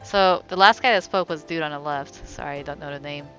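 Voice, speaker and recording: A woman's voice: sits low, brisk, a bit bright, muffled recording, faint music behind. A woman giving casual let's play commentary, rambling and thinking aloud in a wry way. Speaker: gamer, let's play host.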